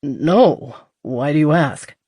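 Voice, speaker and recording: Woman doing a gruff, terse man, low-voiced. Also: slow, no echo, clean studio sound, heavily acted. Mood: wary, guarded. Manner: terse, muttering, deadpan. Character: gruff, guarded, protective man in a romance.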